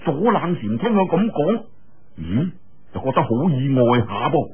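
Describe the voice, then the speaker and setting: A man's voice: mid-range voice, big pitch swings, measured pace, slightly rough, dull audio. A man telling a martial-arts tale in Cantonese in a theatrical, colloquial storytelling manner, full of exclamations and asides.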